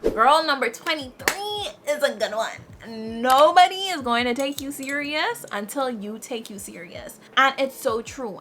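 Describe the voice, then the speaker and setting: A woman in her twenties giving lively, faith-filled life advice to her viewers, repeating her key phrases and calling them "girl". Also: fairly high pitch, slight background noise. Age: 20s. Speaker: lifestyle vlogger. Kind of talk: casual motivational advice talk.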